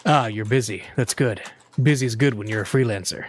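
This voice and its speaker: A man's voice: on the low side, fairly quick, a little hiss or hum, lightly acted. A male narrator voicing a despairing male magazine editor, with a weak, tearful voice. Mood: falsely sympathetic.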